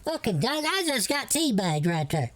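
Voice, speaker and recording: A woman's voice: a little high, sing-song, moderate pace, dry recording. A woman playing a rambling, crude, folksy older woman with a Southern US accent on an improvised prank phone call. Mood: exasperated, swearing.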